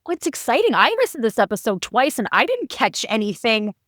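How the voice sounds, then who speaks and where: A woman hosts an interview podcast. Her voice sits a little high for a woman. The sound is very dry, with hardly any room on it. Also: very animated, quick pace, a bit bright, no room sound. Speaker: podcast host. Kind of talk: interview hosting.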